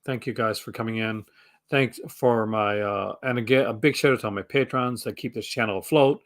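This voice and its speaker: A young man hosting a casual live stream, rambling with lots of fillers and restarts, his voice going hoarse. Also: on the low side, fairly quick. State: losing his voice. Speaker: host.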